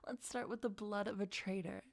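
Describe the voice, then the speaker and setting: A woman playing a possessive, doting vampire girlfriend in an ASMR roleplay, speaking softly and sweetly with breathy, often whispered delivery. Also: medium pitch, fairly even intonation, fairly quick, dry recording, close to an everyday voice. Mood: musing, fond.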